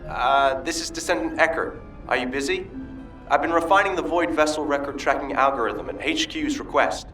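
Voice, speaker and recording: Game officer: bright forward tone, businesslike, sounding off-mic, music underneath. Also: male voice, on the high side, normal speed, far from the mic, low-quality recording. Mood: polite, businesslike. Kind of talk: video game voice acting, call to a comrade.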